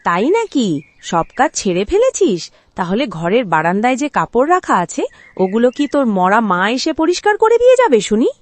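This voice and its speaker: Cruel cartoon village stepmother, played by a woman: nagging, scornful, animated, on the high side. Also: brisk, no echo. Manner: sarcastic, nagging, sharp-tongued. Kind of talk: Bengali cartoon dialogue.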